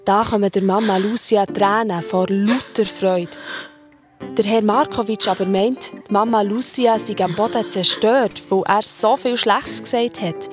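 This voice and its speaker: A woman as narrator, telling a scripted children's story in a colloquial, expressive way. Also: mid-range voice, measured pace, faint music behind.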